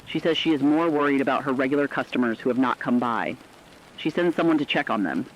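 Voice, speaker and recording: A man narrating scripted human-interest stories for a radio drama in a sober, deliberate way. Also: mid-range voice, a little hiss or hum. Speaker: radio storyteller. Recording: dull lo-fi radio sound.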